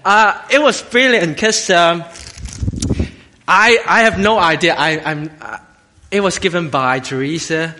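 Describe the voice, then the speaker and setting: Male lay preacher, Hong Kong accent, slightly high bright voice, halting, self-deprecating, informal children's sermon. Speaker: assistant lay minister preaching. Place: church, speaking to a congregation. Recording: long hall reverb.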